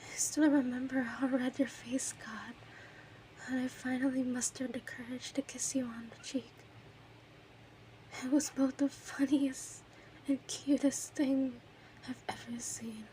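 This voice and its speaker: A woman's voice: high-pitched, level tone, a little slow, dark tone, slight background noise, rough recording. A woman playing an obsessive, lovesick kidnapper in her thirties in an intimate, emotional audio roleplay monologue. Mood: tender, wistful. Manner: clingy, emotional, swings between breathy whispers and outbursts.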